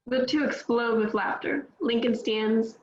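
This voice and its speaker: A woman's voice: on the high side, steady tone, a little slow, a little hiss or hum. A woman reading scripted stage directions as narrator at a table read, in roomy, slightly dull audio.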